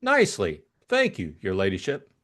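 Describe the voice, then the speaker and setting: A man's voice: deep voice, huge pitch swings, normal speed, fairly bright tone, heavily acted. A man voicing a polite, deferential former footman in an audiobook, with servile, clipped replies. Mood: polite, guarded. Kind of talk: audiobook character acting.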